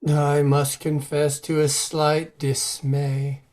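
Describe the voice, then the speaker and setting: A man's voice: a little high, completely flat delivery, slow, darkish tone. A man playing a formal, courteous village reverend in an old-time radio style drama. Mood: formal, reserved.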